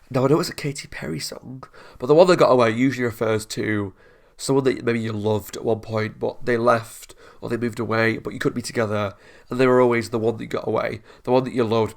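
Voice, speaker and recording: A man's voice: mid-range voice, vocal fry. A man hosting a TV reaction channel, congested with a cold, chatting in a rambling, casual way and laughing often.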